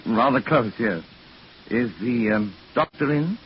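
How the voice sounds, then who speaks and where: An actor in a 1940s radio drama playing a wry, flustered mystery writer, genteel and dryly joking, hesitant when rattled. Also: male voice, medium pitch, expressive, measured pace, close to an everyday voice. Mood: polite, hesitant. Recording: hissy, dull 1940s radio recording.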